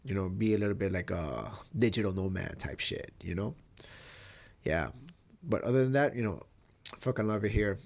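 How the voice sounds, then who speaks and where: A young man from Mexico, his voice a little low and stuffed up from a cold, so it comes out nasal. He rambles in a loose, easygoing way and swears without thinking about it, like he's chatting straight into a camera. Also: normal speed, vocal fry, pauses often. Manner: relaxed, rambling, swears casually. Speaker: vlogger. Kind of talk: casual talking-head vlog.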